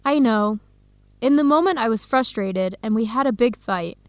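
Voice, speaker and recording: An actress playing a warm-hearted young woman in an educational radio drama, expressive and conversational. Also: fairly high pitch, normal speed, no room sound, close to an everyday voice. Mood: frustrated, defensive. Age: young adult. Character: Helen, warm-hearted young woman, defensive about her boyfriend.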